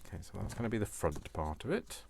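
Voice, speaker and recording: A man's voice: fairly low pitch, sing-song, fast, creaky, darkish tone, slight background noise. A British man hosting a casual craft tutorial, chatting in a rambling, jokey way as if to himself. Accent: British English.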